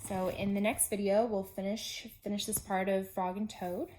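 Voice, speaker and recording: Woman, preschool teacher, storybook narration, medium pitch, simple child-directed phrasing. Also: level tone, normal speed, mellow, a little hiss or hum, poor audio. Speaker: pre-K teacher reading aloud.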